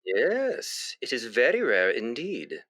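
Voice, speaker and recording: An actor playing a brisk, patient doctor in a British radio comedy, formal, explanatory and reassuring. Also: male voice, mid-range voice, huge pitch swings, a bit bright, heavily acted. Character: brisk, patient doctor persuading a blood donor.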